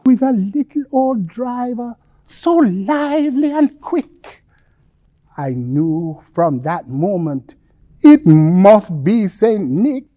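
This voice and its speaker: A woman reading a bedtime story in verse with expressive, theatrical delivery. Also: mid-range voice, slow pace, no echo. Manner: expressive, dramatic.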